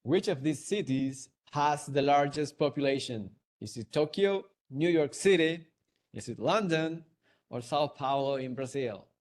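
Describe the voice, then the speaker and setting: A man with a Venezuelan Spanish accent and a fairly high voice runs a trivia webinar. He is playful, jokes a lot and laughs easily. He speaks into a computer mic on a video call. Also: expressive, unhurried, a little mellow. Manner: playful, laughs often, hums and sings along. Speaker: webinar host. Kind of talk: hosting a live trivia webinar.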